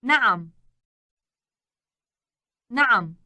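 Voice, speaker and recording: Woman from Syria, Syrian Arabic accent, high and bright, quick crisp phrases. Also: animated, lo-fi sound. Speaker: native speaker model on a language tape.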